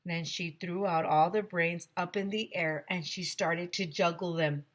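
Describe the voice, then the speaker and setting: A woman reading a children's book aloud as a teacher to her class, with deliberate, expressive storytelling. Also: fairly low pitch.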